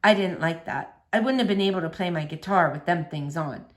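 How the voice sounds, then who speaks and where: A woman's voice: fairly low pitch, voice pushed down, close to an everyday voice. A woman doing a shy, lonely mumbling man. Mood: quiet confessing. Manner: quiet, slow, mumbling, drawling. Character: lonely, gentle middle-aged man, shy ex-convict guitarist.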